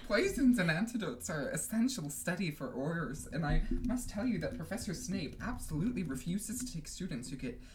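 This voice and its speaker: A woman's voice: lowish voice, big pitch swings, fairly quick, few pauses, noisy background, pitched above the performer's own voice. A woman voicing a stern, curt older schoolmistress with a clipped, exasperated, formal manner, as in a storybook.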